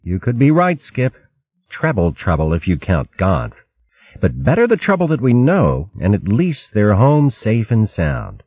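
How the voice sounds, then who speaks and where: A sensible, kindly female mouse voiced by a man, a bit higher than his own voice and wry in manner. The recording is dry and slightly muffled. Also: big pitch swings, unhurried, slightly rough, dry recording, exaggerated voice.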